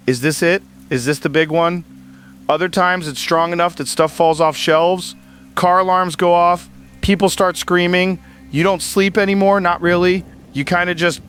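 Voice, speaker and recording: A man's voice: on the high side, fairly bright tone, a little hiss or hum. An actor playing a weary male podcaster broadcasting on old gear after a disaster, shaken and rambling. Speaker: podcast host. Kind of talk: audio drama monologue. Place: home broadcast set-up on a generator. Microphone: old podcasting equipment.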